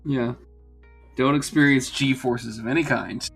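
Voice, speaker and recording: Blunt male player, husky and bright-toned, with faint music behind. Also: mid-range voice, steady tone, measured pace. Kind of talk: casual tabletop game chat.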